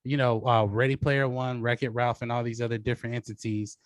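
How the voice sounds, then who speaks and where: A man's voice: medium pitch, little pitch movement, brisk, no echo. A man hosting a casual debate show, talking through his judging of the match. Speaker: host and judge of a debate show.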